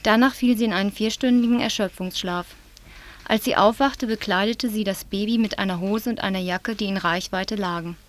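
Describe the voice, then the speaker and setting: A woman from southwest Germany presenting a local news report, reading in a measured, serious way. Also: mid-range voice.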